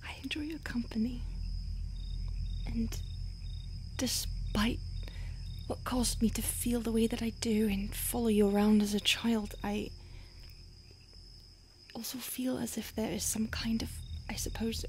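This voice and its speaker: A soft, breathy whisper carries this brooding female vampire, acted by a woman, starved yet remorseful. Her voice sits slightly high, and she speaks intimately and haltingly, in ASMR roleplay style. Faint crickets and night ambience sit behind her. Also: steady tone, a little hiss or hum. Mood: yearning, reluctant.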